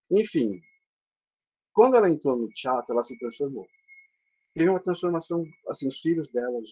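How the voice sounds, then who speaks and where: A Brazilian-accented man talks through his slides as a theatre teacher for older adults, chatty and full of tag questions. His voice sits at an ordinary middle pitch for a man. The sound comes through a dull, lo-fi video call. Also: breathy edge. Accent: Brazilian Portuguese. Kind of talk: conference presentation over slides. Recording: dull lo-fi online call audio.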